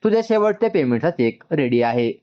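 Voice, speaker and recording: A man voicing a composed, businesslike middle-aged mother in a story, with measured, matter-of-fact delivery. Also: fairly high pitch, very animated, muffled recording. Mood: composed, firm.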